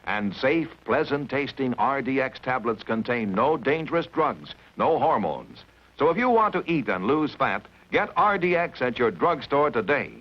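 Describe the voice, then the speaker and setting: A man's voice: medium pitch, sing-song, normal speed. A man announcing a vintage radio advertisement in a punchy salesman manner. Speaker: commercial announcer. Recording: dull lo-fi radio recording.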